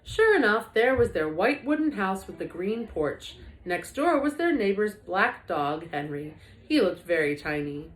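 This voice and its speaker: A woman reading a children's book aloud like a teacher, with expressive, dramatic emphasis. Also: mid-range voice, a little slow, hardly any pauses. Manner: animated, dramatic emphasis for young listeners. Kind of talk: expressive storybook read-aloud. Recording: echoey room.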